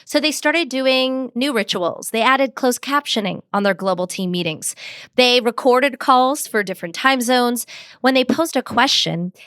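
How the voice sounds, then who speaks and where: Smooth-voiced woman explaining with confidence. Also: fairly high pitch, expressive, a little slow, fairly bright tone, no room sound. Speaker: communications expert guest. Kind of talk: interview answers, advice with examples.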